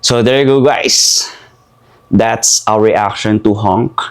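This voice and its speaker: A man's voice: fairly low pitch, slow pace. A young man from the Philippines hosting a casual music video reaction, chatty and rambling with lots of fillers and restarts. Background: music playing.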